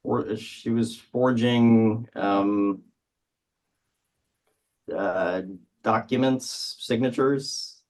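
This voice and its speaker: A man's voice: mid-range voice, fairly even intonation, extremely slow, pauses often, darkish tone. A man taking part in a quiz as a contestant, answering informally.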